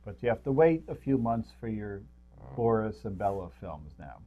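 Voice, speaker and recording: A man's voice: lowish voice, big pitch swings, moderate pace. Man co-hosting in dull, lo-fi sound. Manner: rambling, jokey, chuckles at own remarks. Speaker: co-host of a local film review show. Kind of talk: casual unscripted chat about movies.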